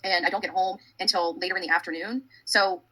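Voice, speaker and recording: American woman, rapid-fire, forceful bright tone, rambling livestream host, casual monologue. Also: fairly low pitch, fairly even intonation, poor audio. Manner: rambling, repetitive. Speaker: online true-crime livestream host.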